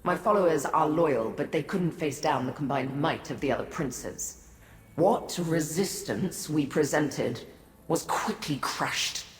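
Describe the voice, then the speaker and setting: Slow, low, imperious goddess. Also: female voice. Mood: despairing. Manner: solemn, imperious, formal diction. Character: proud, bitter female Daedric prince awaiting imprisonment. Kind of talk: fantasy video game voice acting. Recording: roomy echo with long reverb, music behind.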